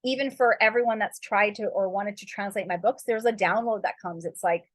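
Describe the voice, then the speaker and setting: A woman's voice: medium pitch, a little fast, dry recording, clean studio sound. A woman author giving casual, encouraging writing advice in a loose, rambling way, full of fillers and spiritual turns of phrase. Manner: loose, rambling, heavy with "like" and "you know".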